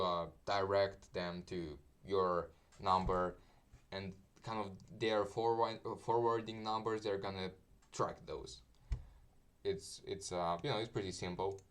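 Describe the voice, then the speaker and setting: Male tutorial host, lower-pitched voice, informal and halting, talking through steps on the fly. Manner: hesitant, halting, loose phrasing with repeated "you know" asides. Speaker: marketing tutorial host and media buyer. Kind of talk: casual step-by-step screen tutorial.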